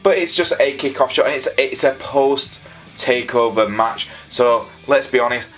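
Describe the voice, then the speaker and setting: A man's voice: medium pitch, moderate pace, a bit bright, soft background music. A man with a Yorkshire accent gives an enthusiastic, opinionated, casual review as a wrestling show reviewer. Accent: Yorkshire English. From Leeds, England. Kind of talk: casual scripted-free review commentary.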